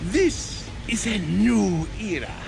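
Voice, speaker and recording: An actor playing a gloating, theatrically amused old vampire count in a video game, pompous and mock-genteel, savoring each word. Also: male voice, high-pitched, lively intonation, slow, vocal fry, over music, full character voice. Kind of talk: video game villain acting.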